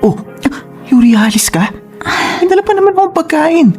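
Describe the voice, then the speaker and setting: A man's voice: extremely high pitch, very animated, moderate pace, a little mellow, full character voice. A man with a Filipino accent playing a resentful young suitor in a radio drama, pushy and accusing. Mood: friendly, surprised. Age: young adult. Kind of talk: Tagalog radio drama acting. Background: music.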